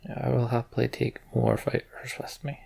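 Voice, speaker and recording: A man's voice: mid-range voice, flat delivery, normal speed, creaky, mellow, husky. A man hosting a let's play, commenting on a game and narrating its story.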